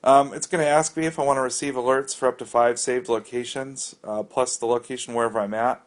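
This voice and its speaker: A man's voice: medium pitch, steady tone, fairly quick. An American man narrating a casual, unscripted app walkthrough in a conversational, explanatory and somewhat hesitant way. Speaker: app reviewer giving a walkthrough.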